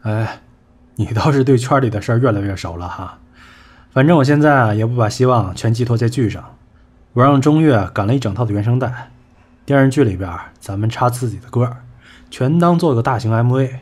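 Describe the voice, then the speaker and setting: An actor in a Chinese audio drama playing a sharp-tongued entertainment boss, blunt, scolding and colloquial. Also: male voice, medium pitch, animated, fairly quick, husky. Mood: grumbling.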